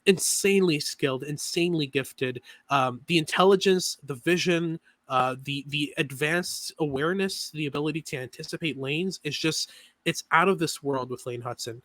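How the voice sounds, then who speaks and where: A man co-hosting a sports podcast, talking through analysis in a quick, filler-heavy way with many restarts. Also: a little high, a bit bright, dry recording. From Canada. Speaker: podcast co-host.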